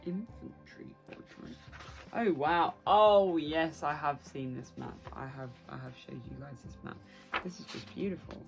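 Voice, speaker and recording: A young British woman chatting casually and excitably to her viewers as a book vlogger. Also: sits low, a little slow, gravelly, faint music behind, low-quality recording. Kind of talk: casual chatty vlog to camera.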